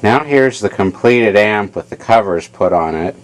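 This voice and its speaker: Low voiced guy showing his repair work, matter of fact, kinda halting explanations. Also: lively intonation, poor audio. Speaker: amplifier repair hobbyist demonstrating his work. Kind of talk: informal hands-on technical demonstration.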